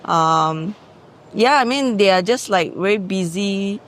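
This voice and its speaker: A woman answering a street interviewer in a soft, hesitant way that trails off. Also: mid-range voice, expressive, slow pace.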